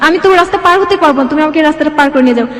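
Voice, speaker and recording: A woman's voice: high voice, racing, a bit bright. A young Bangladeshi woman telling her own life story as an interview guest. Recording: echoey room with long reverb.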